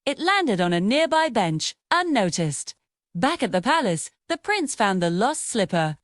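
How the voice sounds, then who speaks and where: A woman narrating a fairy tale for children in a scripted, expressive, sing-song storytelling manner. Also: a little high, measured pace, no room sound, clean studio sound.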